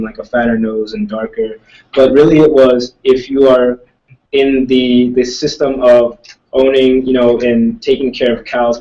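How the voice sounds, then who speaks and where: A man's voice: medium pitch, mellow. Young man, student speaker, rambling restarts, distant dull sound. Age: young adult. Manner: thoughtful, rambling with many restarts. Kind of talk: casual talk answering questions.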